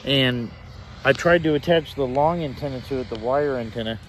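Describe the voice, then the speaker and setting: A man's voice: mid-range voice, moderate pace, slight background noise, low-quality recording. A man giving a casual hands-on demonstration as a hobbyist, hesitant and thinking aloud as he goes. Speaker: hobbyist reviewer demonstrating a shortwave radio.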